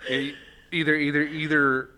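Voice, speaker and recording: A man's voice: fairly high pitch, little pitch movement, normal speed, bright, forceful tone, slight background noise. A man hosting a podcast in a casual, chatty style. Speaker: podcast host. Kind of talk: casual chat.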